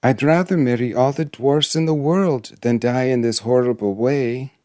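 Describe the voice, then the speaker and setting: A man's voice: on the high side, normal speed, a little mellow, no room sound, studio quality, voice pushed up, close to an everyday voice. A man voicing a proud young fairy tale princess with a plaintive, haughty manner, in a storybook reading. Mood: frightened.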